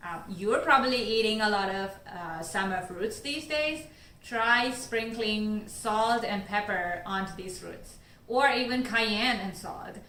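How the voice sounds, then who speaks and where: A woman from Sri Lanka talks about her spice business in earnest, measured answers, now and then pausing on an "uh" or "um". Her voice sits at an ordinary pitch for a woman. It is recorded in a room with a noticeable echo. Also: pushed and bright. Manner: earnest, measured explanations with occasional fillers. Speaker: spice company founder. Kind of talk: scripted-sounding interview answers.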